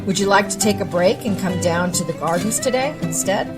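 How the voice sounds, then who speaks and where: A woman's voice: mid-range voice, animated, brisk, lightly acted. Actress as female gardener: no-nonsense, amiable, mid register, music bed. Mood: friendly, brisk. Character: friendly, no-nonsense woman camp gardener. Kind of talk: audio drama acting. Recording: music behind the voice.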